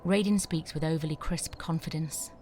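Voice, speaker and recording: A woman's voice: deep voice, moderate pace. A woman narrator reading screenplay scene descriptions and stage directions as scripted narration, over music.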